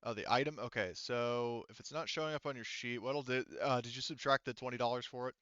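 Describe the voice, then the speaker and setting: A man speaking casually as game master of an online tabletop game, explaining things in a halting, rambling way. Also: medium pitch, steady tone, a bit bright, clear, resonant tone, no room sound, highs cut off.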